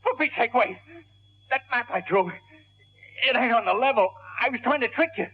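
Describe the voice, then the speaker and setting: A woman playing a hot-headed, desperate crook with a husky, strained voice in 1940s radio crime drama style. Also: a little high, animated, normal speed. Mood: desperate pleading. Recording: muffled old radio recording.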